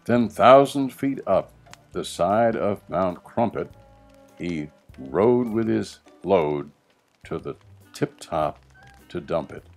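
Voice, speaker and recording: A man reading a rhyming children's story as an expressive, dramatic narrator with deliberate phrasing. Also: low-pitched, faint music behind. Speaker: storybook reader.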